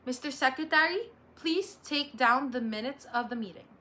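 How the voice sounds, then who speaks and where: A woman's voice: high-pitched, lively intonation, normal speed, bright, forceful tone. A Filipino woman playing a chatty, proud and bossy college instructor in her thirties in an amateur dramatic reading. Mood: officious, proud. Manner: chatty, officious. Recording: faint background noise.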